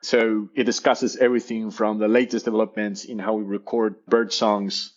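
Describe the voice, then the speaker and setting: A man's voice: lowish voice, flat delivery, moderate pace, highs cut off. A man with an Argentinian Spanish accent, a native Spanish speaker, talking in a casual interview, enthusiastic and often repeating words as he searches for them. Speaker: guest, professor.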